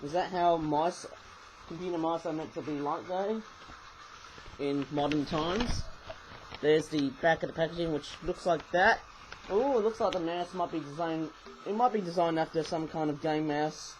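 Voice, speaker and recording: A man who has just woken up, talking croakily through a casual toy review into a webcam. He rambles and keeps correcting himself. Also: fairly high pitch, steady tone, normal speed, slight background noise, poor audio. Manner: rambling, self-correcting, chatty asides.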